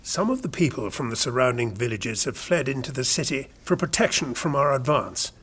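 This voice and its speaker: A man playing a shrewd, deferential royal chamberlain in a historical audio drama, courtly and husky. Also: mid-range voice, expressive, a little fast, fairly bright tone.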